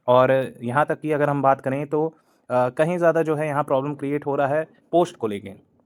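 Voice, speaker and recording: A man hosting an exam-news channel, talking in a loose explainer style with frequent hesitations and English words mixed in. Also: medium pitch. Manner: repetitive, hesitant fillers, Hindi-English mix. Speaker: exam coaching channel host. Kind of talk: explainer talk to camera.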